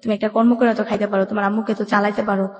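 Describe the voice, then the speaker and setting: A woman's voice: mid-range voice, flat delivery, rapid-fire, soft background music. A Bangladeshi woman telling a personal story in an interview, colloquial, often voicing remembered dialogue. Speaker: guest telling their life story. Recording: echoey room with long reverb.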